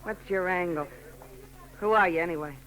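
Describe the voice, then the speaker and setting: An actress playing a brassy, slangy, tough fortune teller in a 1940s radio drama. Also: female voice, on the low side, moderate pace, a bit bright, natural voice, rough recording. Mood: hostile.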